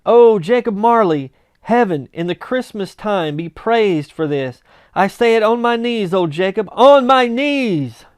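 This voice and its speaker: A man's voice: high voice, expressive, unhurried, clean tone, no room sound, clean studio sound, voice pushed up. A man voicing an elated, reformed old miser from a storybook, exuberant and full of repeated exclamations. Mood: fervent, grateful.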